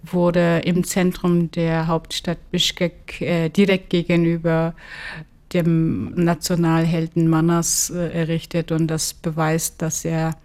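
A woman from Kyrgyzstan explaining things in an interview, in a halting, word-by-word way. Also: low-pitched, monotone, a little mellow. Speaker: legal anthropologist.

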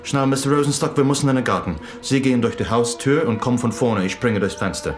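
An actor playing a brisk, cheeky newspaper reporter in a radio play. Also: male voice, mid-range voice, over music, lightly acted. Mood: urgent.

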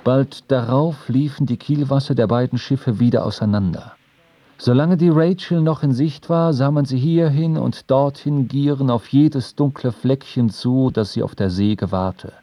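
Mellow, close male narrator. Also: medium pitch, no room sound. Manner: measured, storytelling. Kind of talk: literary audio drama narration.

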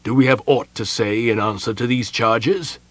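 A man's voice: mid-range voice, slow pace, vocal fry, bright, forceful tone, pitched above the performer's own voice, exaggerated voice. A man voicing a stern, pompous elven general in a fantasy audiobook, barking his words in a commanding, portentous way.

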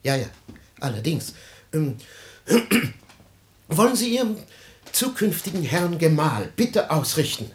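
Self-pitying proud man, theatrical, halting, very animated intonation, vintage radio play. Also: fairly high pitch. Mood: offended pride. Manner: melodramatic, halting. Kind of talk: 1950s German radio play acting.

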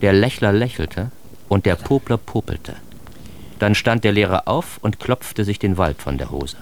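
A bitter, self-mocking son looking back on his youth in a radio drama. The voice sits low, and he confides in the listener directly, as in a confession. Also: a little slow, slight background noise. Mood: storytelling. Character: self-deprecating, bitter grown son recounting his East German youth. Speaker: narrator and protagonist of a radio drama. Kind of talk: first-person monologue in a radio play.

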